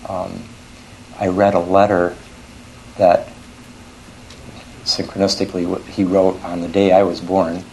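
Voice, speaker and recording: An older man from Switzerland giving an academic lecture in a scholarly, halting way, often correcting himself mid-phrase. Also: low voice, flat delivery, vocal fry, darkish tone, slight background noise, rough recording.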